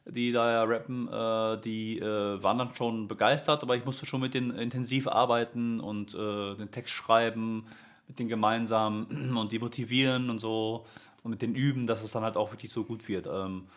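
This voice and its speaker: Low-voiced man talking casually, full of fillers. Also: steady tone, normal speed. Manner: rambling, many fillers and restarts. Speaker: music and Dutch teacher, guest. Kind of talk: casual conversational interview.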